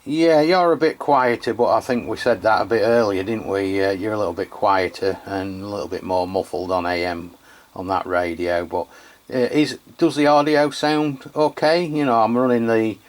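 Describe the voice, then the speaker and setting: A man's voice: lowish voice, moderate pace, slight background noise. An English man with an English accent, chatting casually with fillers while testing a CB radio. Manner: relaxed, hesitant, many fillers. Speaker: CB radio reviewer. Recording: radio on-air test.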